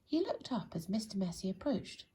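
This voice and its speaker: A woman reads a children's bedtime story expressively and deliberately, speaking directly to young listeners with a breathy, husky voice. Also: mid-range voice, sing-song, dark tone, slight background noise.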